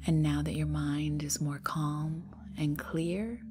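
A woman leading a guided meditation in a soft, breathy, half-whispered voice, soothing and unhurried, leaving long pauses. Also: low-pitched, steady tone. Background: meditation music.

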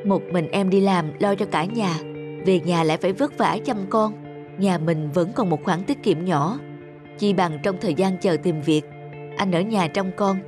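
A woman's voice: lowish voice, moderate pace, close to an everyday voice. A woman voicing an earnest, reflective young husband and devoted father in a cartoon. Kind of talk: cartoon dubbing. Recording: music behind the voice.

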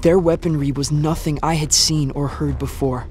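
Guy acting as young anxious apocalypse survivor w/ wolf buddy, podcast drama, slightly high voice, music under it. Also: fairly even intonation, normal speed, a little mellow, lightly acted. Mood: grave, reflective. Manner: breathless muttering to himself, reflective when narrating. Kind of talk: audio drama acting, first-person narration.

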